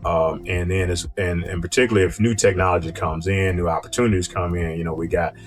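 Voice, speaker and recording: A man's voice: sits low, steady tone, fast talker, soft background music. A man co-hosting a podcast, explaining business points conversationally and loosely, often checking in with a tagged-on "right?". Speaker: podcast co-host.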